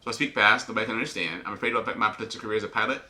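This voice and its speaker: Man with a sharp, forceful radio-style voice. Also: fairly low pitch, rapid-fire. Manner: talks in a put-on radio-announcer voice; explains checklists step by step and answers viewer questions. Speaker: airline pilot. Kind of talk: live-streamed flight sim commentary.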